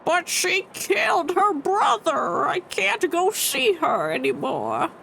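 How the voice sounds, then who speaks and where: A woman game streamer giving casual, deadpan commentary and teasing the characters as she plays. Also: very high-pitched, slow, vocal fry, a little hiss or hum, poor audio.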